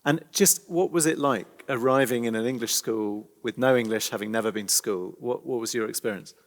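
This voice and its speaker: A man interviewing a guest, asking courteous, gently probing questions. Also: medium pitch, brisk. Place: large church. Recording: long reverb of a large church hall.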